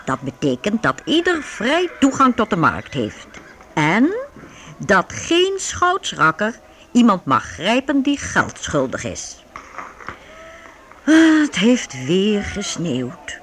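Slow, very expressive husky narrator. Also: medium pitch, a little hiss or hum. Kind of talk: scripted radio play narration for young listeners.

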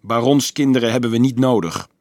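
A man's voice: mid-range voice, a little fast, darkish tone, dry recording, studio quality, close to an everyday voice. A man playing a snarling, jeering mounted raider chief. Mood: snapping, commanding. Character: cruel, scornful armed horseman leader making threats. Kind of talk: audiobook character voice.

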